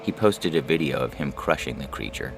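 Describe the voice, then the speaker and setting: A man's voice: very deep voice, expressive, brisk, slight background noise, low-quality recording. A man narrating a scripted science fiction short story. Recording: loud music under the voice. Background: loud music.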